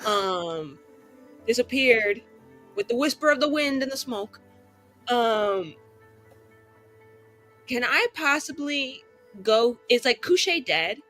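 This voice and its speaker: Higher-pitched woman playing a tabletop game. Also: lively intonation, slow pace, pauses often, fairly bright tone, soft background music. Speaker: player in a tabletop roleplaying game. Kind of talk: casual descriptive game talk.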